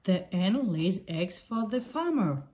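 A woman reading a picture book aloud for small children in simple, deliberate sentences. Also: medium pitch, big pitch swings, mellow.

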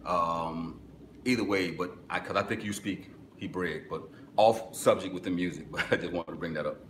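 Deep-voiced man giving blunt music advice. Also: moderate pace, a bit bright, reverberant, a little hiss or hum. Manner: direct, instructive, answers viewer comments. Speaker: music business webinar host. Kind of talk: live stream Q&A advice. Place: small office with corkboard, indoors.

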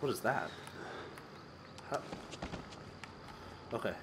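A man's voice: medium pitch, lively intonation, slow pace, noisy background. A young man hosting a horror game playthrough, nervous and jittery, with a stuffy, sick-sounding voice on a headset mic. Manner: nervous, jittery, frequent yelps and laughs. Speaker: gaming channel host. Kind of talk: horror game let's play commentary. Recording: game music behind voice.

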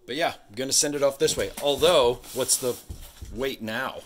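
A man in his forties talking casually to his vlog camera indoors, musing and halting as he thinks aloud. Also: medium pitch, a bit bright, a little hiss or hum. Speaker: vlogger running a home resale business.

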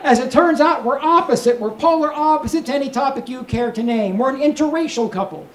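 A middle-aged male stand-up comic works a small club stage. He projects his stories out to the room on a handheld mic. The sound is echoey, with clear noise behind him. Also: extremely high pitch, brisk. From Kentucky, United States. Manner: storytelling delivery, projected to the room. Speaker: stand-up comedian. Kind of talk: stand-up comedy routine with crowd work. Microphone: handheld microphone.

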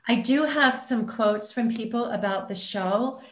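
A woman's voice: on the high side, a little slow. A woman speaking as a theater representative on a panel, presenting and reading out comments. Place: indoors in a room, on a video call. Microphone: computer mic on video call. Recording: roomy video call audio.

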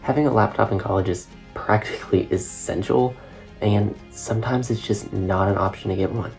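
A young man, a college student from Kentucky, giving an earnest, measured testimonial. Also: fairly low pitch, fairly even intonation, creaky, a little mellow, faint music behind. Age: young adult. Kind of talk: scripted testimonial.

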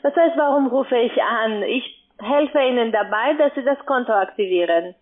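Female phone sales agent, fairly high pitch, insistent and formal, thin telephone sound. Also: moderate pace. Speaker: call center agent. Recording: tinny band-limited phone line.

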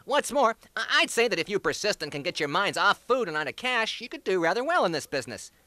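A high male voice, lively and very bright, in a dry close recording. It is a patronizing, glib commodities man from a comedy sketch, as an actor plays him. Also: fast talker, no room sound, heavily acted. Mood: smug. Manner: pompous, smooth-talking, patronizing. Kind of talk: radio comedy sketch acting.

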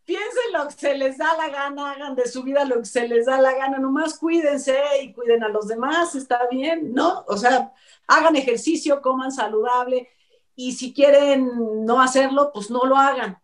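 A woman's voice: high voice, moderate pace. A middle-aged woman with a Mexican accent giving emphatic, colloquial opinions as a panelist on a video call. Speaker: talk show panelist. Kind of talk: casual opinionated panel chat. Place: bright living room at home. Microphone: computer mic on video call. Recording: distant mic on video call.

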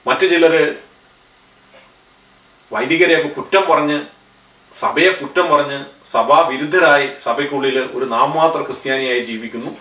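A man's voice: fairly high pitch, moderate pace, pauses often, bright, forceful tone, reverberant, far from the mic, low-quality recording. A man in his thirties preaching a sermon to camera in earnest, his voice rising on key phrases. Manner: earnest, gestures with both hands, voice rises on key phrases. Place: studio in front of a backdrop.

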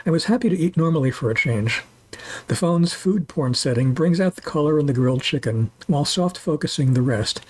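A man's voice: a little high, a little mellow, husky. A man in his sixties reading a scripted voice-over for a food and walking tour, with dry, wry humor. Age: 60s. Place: voice-over, not recorded on location.

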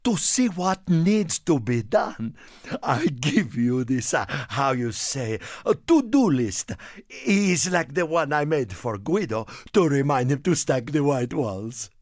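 A man voicing a small, excitable, proud Italian car in a video game, with an Italian accent and theatrical broken English. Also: medium pitch, takes it slowly, no echo, studio quality. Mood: friendly, confiding. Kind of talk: animated video game character lines.

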